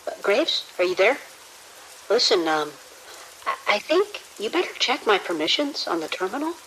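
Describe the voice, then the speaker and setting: Casting: actress as uneasy female night guard for horror audio drama, halting and stammering, mid-pitched, faint hiss and rain behind. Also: sing-song, fairly bright tone, poor audio. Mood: wary. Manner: hesitant, stammering delivery. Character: nervous, uneasy security officer on night shift. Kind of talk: immersive audio drama acting.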